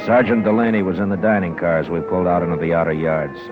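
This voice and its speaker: An actor playing a sober policeman giving a measured, factual account in a 1950s radio drama, on a muffled old recording. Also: low voice, fairly even intonation, over loud music, close to an everyday voice. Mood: matter-of-fact, grave.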